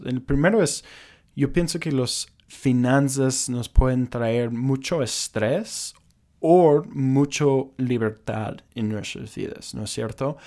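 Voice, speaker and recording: A man's voice: mid-range voice, a little mellow. A young American man with an English-speaker accent, hosting a casual personal podcast in earnest, careful non-native phrasing with small slips. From United States. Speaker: podcast host.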